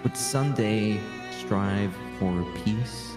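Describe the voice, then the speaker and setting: A man's voice: fairly low pitch, slow, music in the background. A man speaking in character in a dramatic game roleplay.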